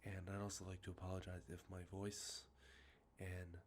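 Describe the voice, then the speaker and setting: Man, very low pitch, congested nose, soft rambling ASMR talk, lots of ums, faint background noise. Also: flat delivery, normal speed. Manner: rambling, many ums and uhs, self-correcting. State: congested from allergies, sniffly. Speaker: ASMR channel host. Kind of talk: soft-spoken show-and-tell about collected video games. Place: outdoors with traffic nearby.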